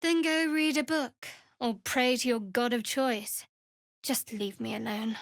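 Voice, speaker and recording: An actress playing a guarded, devout young woman cleric in a video game, with a wry, measured delivery. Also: fairly high pitch, fairly even intonation, no room sound, clean studio sound. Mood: stern. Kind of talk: video game character voice acting.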